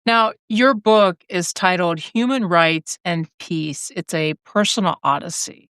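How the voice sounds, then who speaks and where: A woman's voice: lowish voice, unhurried, a bit bright, clean tone, dry recording, studio quality. A woman hosting a podcast, attentively and encouragingly asking her guest questions. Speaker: podcast host. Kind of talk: interview questions.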